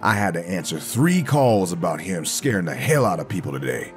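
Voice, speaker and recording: A man's voice: deep voice, big pitch swings, brisk, faint music behind. An actor playing a stern, exasperated man laying down the law in an audio drama.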